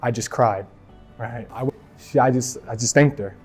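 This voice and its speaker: Mellow-voiced teen guy from Philly. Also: medium pitch, slightly rough, faint music behind. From Philadelphia. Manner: halting, many "like" and "right?" fillers. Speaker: athlete sharing testimony. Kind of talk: casual personal testimony.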